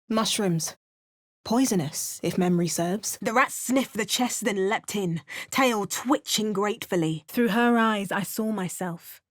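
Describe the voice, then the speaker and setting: A wry adventurer played by a woman trades in dry, sarcastic asides and calm, even narration. Her voice sits at a middle pitch, recorded close and completely dry, with no room around it. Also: dry recording, natural voice. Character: sardonic adult female adventurer, wry and unflappable. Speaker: player character voice actor. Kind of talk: game voice lines, dry narration and quips.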